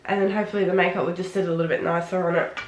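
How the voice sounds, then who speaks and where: A woman with a head cold, sounding nasal and sniffly, chatting excitedly through a casual makeup tutorial. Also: lowish voice, very fast. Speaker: beauty vlogger. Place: home room. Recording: roomy, echoey room.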